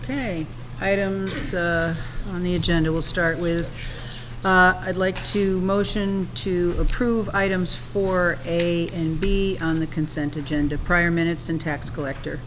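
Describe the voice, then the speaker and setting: Low-voiced woman making council motions. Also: fairly even intonation, background noise. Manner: measured, reads out agenda items with small hesitations. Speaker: town council member. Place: town council chamber.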